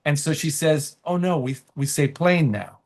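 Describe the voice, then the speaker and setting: A man's voice: on the high side, lively intonation, moderate pace. Man in casual, anecdote-filled interview chat. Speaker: comedian guest.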